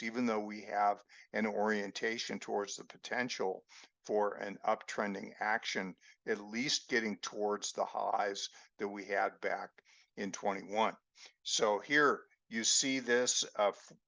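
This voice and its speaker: A man hosting a market chart lesson, explaining slowly with fillers and a voice he says is going hoarse. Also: on the low side, fairly bright tone, no echo. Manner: slow, measured explaining with fillers. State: losing his voice. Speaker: market analyst host. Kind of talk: screen-share chart lesson. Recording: dry, dull lo-fi voice-over.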